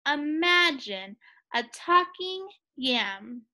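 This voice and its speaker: A woman voicing bossy, indignant talking objects in a folktale, such as a tree or a stone, with drawn-out commanding delivery. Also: high-pitched, sing-song, pushed and bright, full character voice. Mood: mocking.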